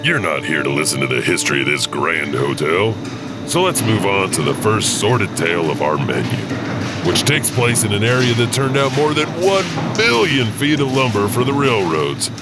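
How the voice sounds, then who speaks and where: A chatty, digressive janitor introducing eerie tales, played by a man. His voice is low and lilts widely as he hesitates and wanders, with music clearly playing behind him. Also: big pitch swings, normal speed. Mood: chatty, wry. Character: rambling, folksy janitor storyteller at old hotel. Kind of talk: hosted anthology narration.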